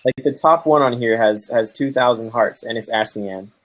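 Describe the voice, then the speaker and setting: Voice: medium pitch, expressive, unhurried, no room sound, rough recording. A participant on a group call who jokes, asks questions and laughs often. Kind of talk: casual group video call.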